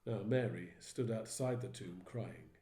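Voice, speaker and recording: A man's voice: on the low side, a little slow, background noise. Man narrating scripture, echoey room. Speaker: vicar. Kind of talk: dramatised Bible reading narration. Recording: roomy echo.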